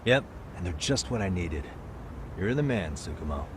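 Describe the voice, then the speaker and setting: A man's voice: deep voice, sing-song, normal speed, background noise. A man playing a cool, wry detective hero in an English video game dub, speaking in a casual, laconic way. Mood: cool, focused.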